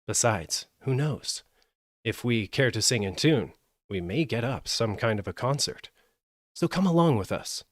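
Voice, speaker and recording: A man's voice: medium pitch, expressive, measured pace, no echo, clean studio sound, lightly acted. A man voicing a sociable, practical talking donkey in a storybook reading, persuasive and matter-of-fact. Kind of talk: live storybook character voice.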